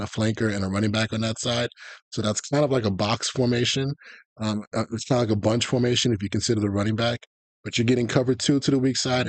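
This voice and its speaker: A man giving a live, conversational tutorial as a gaming coach, explaining tactics and often checking in with a quick "okay?" or "right?". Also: mid-range voice, no echo. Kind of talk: live explanatory commentary on football video game tactics.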